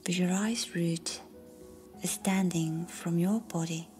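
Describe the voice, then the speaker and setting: A woman leading a guided meditation in a soft whisper, slow and calm. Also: on the low side. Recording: faint music behind.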